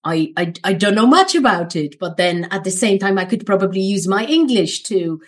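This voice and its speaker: A woman hosting an interview who asks questions in an encouraging way, with soft "um" and "mm" fillers. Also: medium pitch, lively intonation, moderate pace. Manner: warm and encouraging, fills with "um" and "mm". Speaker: interview host.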